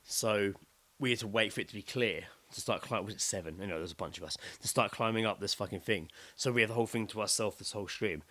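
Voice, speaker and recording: A British man co-hosting a podcast, telling a story in casual chat with sweary, excitable delivery and acting out his own reactions. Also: on the low side, quick pace. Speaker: podcast co-host.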